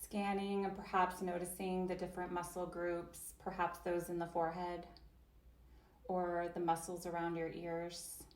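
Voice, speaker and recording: Gentle, slow-spoken woman in an echoey room. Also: lowish voice, completely flat delivery. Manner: slow, gentle, invitational, with long pauses. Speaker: voice interpreter for a yoga teacher. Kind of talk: guided trauma-sensitive chair yoga.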